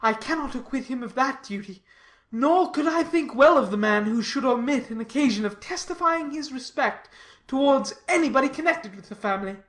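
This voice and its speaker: A woman's voice: high-pitched, animated, normal speed. A woman voicing a pompous, obsequious clergyman who speaks in formal, wordy sentences for a dramatized audiobook. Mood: self-important. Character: pompous, obsequious clergyman holding forth at length.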